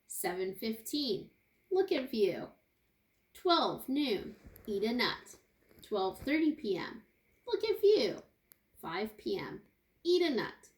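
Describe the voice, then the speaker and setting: A woman with a fairly high voice reads a picture book to young children as their teacher. She takes it very slowly and expressively, stopping to put questions and asides to her listeners. The sound is roomy and echoey. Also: creaky. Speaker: kindergarten teacher.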